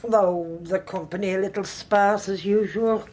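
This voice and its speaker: A woman's voice: mid-range voice, steady tone, darkish tone, lightly acted. An actress playing a bustling, middle-aged country innkeeper in a period audio drama, homely, chatty and motherly in her scolding. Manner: homely, brisk, teasing.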